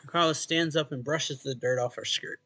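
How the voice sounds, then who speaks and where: Man, gravelly, casual game narration and dialogue reading, faint music behind. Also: on the high side, fairly quick, bright, forceful tone. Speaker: let's play host reading a visual novel aloud. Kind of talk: casual read-aloud of game dialogue and first-person narration.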